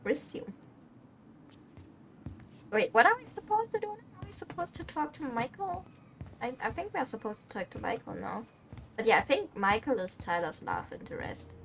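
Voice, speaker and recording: A woman's voice: fairly high pitch, sing-song, moderate pace, creaky, pauses often. Chatty young woman streamer. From Germany. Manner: chatty and rambling, laughs often. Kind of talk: casual chat while playing a game.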